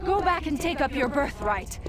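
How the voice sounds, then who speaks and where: An actress playing a commanding temptress in a video game, imploring and dramatic. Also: female voice, high-pitched, expressive, moderate pace. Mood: urging. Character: urgent, commanding temptress, devoted ally of a dark hero.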